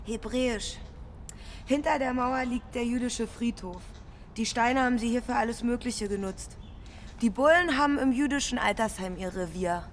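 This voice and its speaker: An actress playing a brisk, conspiratorial young squatter showing someone around in a radio drama. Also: female voice, on the high side, slight background noise, natural voice. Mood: matter-of-fact. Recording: echoey space with background ambience.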